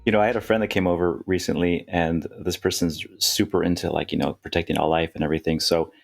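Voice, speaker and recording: A middle-aged man with a fairly low voice co-hosts a podcast. He rambles in quick bursts and jokes about himself as he talks. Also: steady tone, vocal fry, soft background music. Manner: loose, rambling, self-deprecating, quick bursts. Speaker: podcast co-host, wine expert. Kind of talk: casual podcast chat.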